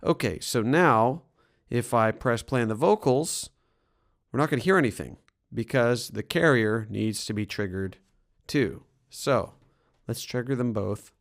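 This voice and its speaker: A man presenting a software tutorial as a music production trainer, explaining things in an informal way. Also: medium pitch, measured pace.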